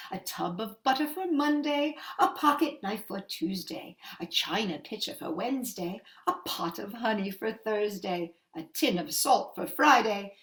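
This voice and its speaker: A woman's voice: medium pitch, roomy sound. A woman reading a children's story expressively, with dramatic, emphatic phrasing.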